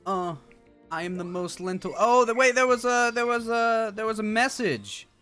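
A man's voice: high voice, normal speed, bright, forceful tone, clean tone. A young man giving casual gameplay commentary as a streamer, with jokey, sweary banter. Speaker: video game streamer. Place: indoor streaming setup. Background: game music.

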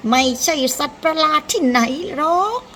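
A woman's voice: sits high, moderate pace, sharp tone, a little hiss or hum, voice pushed up. A woman voicing a sly, cocky fox in a children's folktale, talking in a teasing, persuasive way. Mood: scoffing.

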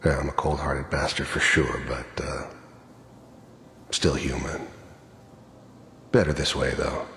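An actor playing a cold-hearted, world-weary middle-aged mercenary in a video game, gravelly, laconic and matter-of-fact. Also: male voice, rumbling low, flat delivery, measured pace, fairly bright tone, exaggerated voice. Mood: reflective. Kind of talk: video game character monologue and dialogue. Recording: echoey reverberant hall, faint noise.

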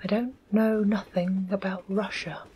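A woman voicing a touchy working-class male railway porter in a soft whisper, sulky and full of dropped-letter dialect. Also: medium pitch, fairly even intonation, unhurried, slight background noise, close to an everyday voice. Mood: huffy.

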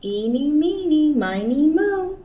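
A woman's voice: high-pitched, very animated, slow. Sing-song woman reading picture books. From United States. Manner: sing-song, playful storytelling. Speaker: children's librarian. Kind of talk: picture book read-aloud for kids. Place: small indoor room. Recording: slightly distant, dull, faint hiss.

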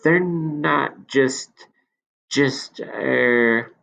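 A man's voice: mid-range voice, extremely slow, vocal fry, pushed and bright, pitched above the performer's own voice, exaggerated voice. A man voicing an eager, naive young man in love, earnest and a little hesitant. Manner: earnest, hesitant, breathless delight.

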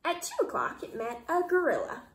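A young woman reading a picture book aloud to young children, expressive and dramatic, pausing to explain and ask questions. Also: high voice. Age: young adult. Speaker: kindergarten teacher reading aloud. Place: living room at home. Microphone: camera or phone a short distance away. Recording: roomy indoor echo.

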